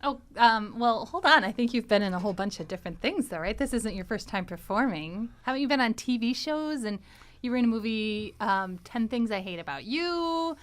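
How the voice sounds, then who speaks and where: Chatty woman host with a higher-pitched voice. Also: animated, a little fast. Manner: chatty, playful prompting. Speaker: host, interviewer. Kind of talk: casual chatty interview.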